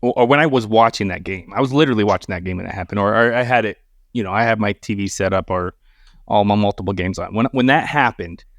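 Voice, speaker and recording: A man's voice: lowish voice, fast talker, no echo. A man in his mid-forties chatting casually, repeating words as he goes and swearing freely, in a self-deprecating way. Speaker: podcast guest. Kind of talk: casual banter and storytelling.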